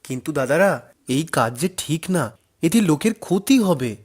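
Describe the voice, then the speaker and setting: A man's voice: on the high side, animated, normal speed, slightly rough. A man voicing an honest, meek young youngest brother in a cartoon, hesitant and earnest. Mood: worried.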